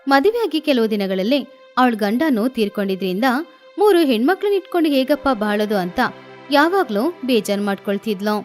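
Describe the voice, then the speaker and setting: A woman's voice: on the high side, very animated, moderate pace. A woman reading scripted children's moral stories as the narrator. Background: music.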